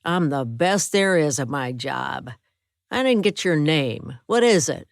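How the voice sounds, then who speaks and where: A woman voicing a gruff, snide bully patient. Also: sits low, expressive, measured pace, no room sound, studio quality, exaggerated voice. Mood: taunting. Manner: snide, taunting. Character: gruff menacing male hospital patient, bully.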